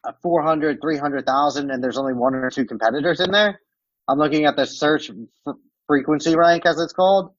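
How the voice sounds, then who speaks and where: A man's voice: fairly high pitch, brisk, no echo. Man with American-Romanian accent, dull dry sound. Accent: American mixed with Romanian. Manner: loose explaining with many fillers like "like" and "um". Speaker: guest expert demonstrating software. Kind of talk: casual podcast chat.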